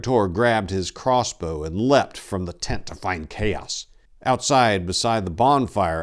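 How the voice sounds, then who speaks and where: A man narrating in the style of a dramatic story reading, with a theatrical, measured delivery. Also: low-pitched.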